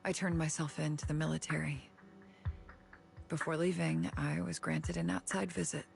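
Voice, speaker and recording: An actress playing a cool, cynical adult woman doctor in an anime video game, speaking in a dry, measured, sardonic way. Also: sits low, fairly even intonation, creaky. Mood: cool, grave.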